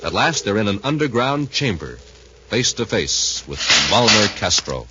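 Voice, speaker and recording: A man's voice: lowish voice, sing-song, moderate pace, pushed and bright, a little hiss or hum. A man narrating a 1950s radio serial in a dramatic, suspenseful manner over music, on a muffled old recording.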